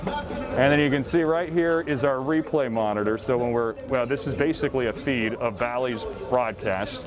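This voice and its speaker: A man's voice: mid-range voice, moderate pace, low-quality recording. A man talking casually and explaining things step by step, like an announcer giving a behind-the-scenes tour. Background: background music.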